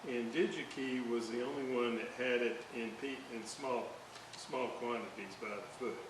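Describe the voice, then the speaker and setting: A man giving casual hands-on commentary as he repairs equipment, halting and muttering while he works. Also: mid-range voice. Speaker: hobbyist repairer narrating a restoration. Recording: hissy, roomy, distant microphone.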